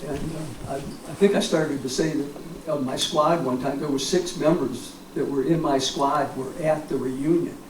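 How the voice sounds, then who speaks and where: Echoey, halting older American man, higher-pitched. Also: fairly even intonation. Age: 70s. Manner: halting, rambling delivery with frequent pauses. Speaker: war veteran telling his experiences. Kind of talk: informal reminiscence at a public talk. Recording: echoey, distant mic, clear background noise.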